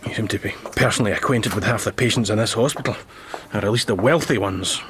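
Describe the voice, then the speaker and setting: A man playing an earnest, stern young Scottish hospital doctor in a radio drama, brisk and authoritative. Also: on the low side, crowd noise, lightly acted. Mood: stern, curt. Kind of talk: naturalistic radio drama acting.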